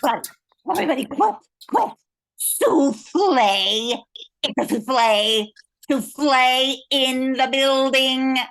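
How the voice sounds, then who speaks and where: An older woman playing a kind, smart, excitable newsroom worker in a 1940s-style radio comedy, speaking earnestly and emphatically. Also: sits high, slow, full character voice. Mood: excited.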